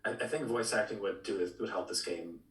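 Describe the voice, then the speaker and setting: A man chatting casually about video games as a podcast co-host, halting and tentative, often repeating his words. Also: on the low side, a bit bright. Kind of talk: casual video game discussion. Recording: roomy echo, distant from mic.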